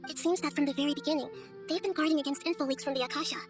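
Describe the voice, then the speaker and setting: A woman voicing a wise, gentle little-girl god in a video game, speaking in a measured, thoughtful, articulate way. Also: high-pitched, steady tone, over music, natural voice. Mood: earnest, thoughtful. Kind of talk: scripted video game voice acting.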